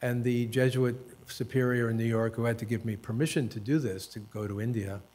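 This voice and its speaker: Man, 70s, American author and professor; reflective panel storytelling, dry self-deprecating humor, hesitant pauses. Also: medium pitch, fairly even intonation, a little mellow. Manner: hesitant fillers and restarts.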